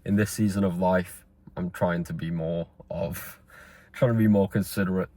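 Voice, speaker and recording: A man's voice: deep voice, steady tone, moderate pace, mellow, no room sound. A man, talking straight to camera in a rambling, earnest motivational monologue. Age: 20s. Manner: rambling, earnest, with fillers and restarts. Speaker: vlogger.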